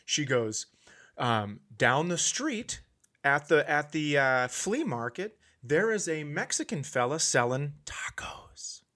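A man's voice: fairly high pitch, sing-song, slow, pushed and bright. A man hosting a comedy podcast, chatting casually and jumping in with teasing, profane riffs.

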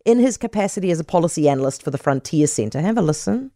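A woman from South Africa with a New Zealand accent, a radio host questioning a guest in a quick, animated way. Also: low voice, no room sound, studio quality. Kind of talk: radio interview.

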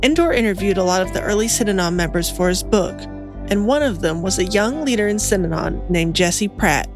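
A woman narrates a documentary podcast in a measured, even storytelling manner. Her pitch sits in an ordinary middle range. Music runs clearly beneath her voice.

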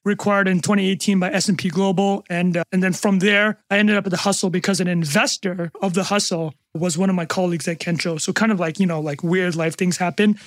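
Fast-talking joking man with Canadian accent. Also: high voice, fairly even intonation, dry recording. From North America. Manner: joking, self-deprecating, quick asides. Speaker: guest. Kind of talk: casual podcast interview.

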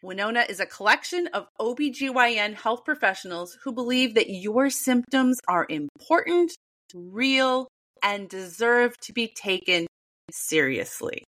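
A woman in her fifties co-hosting a podcast, talking with animated, emphatic phrasing. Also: on the high side, unhurried. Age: 50s. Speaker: podcast co-host. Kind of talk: casual podcast chat and read ad.

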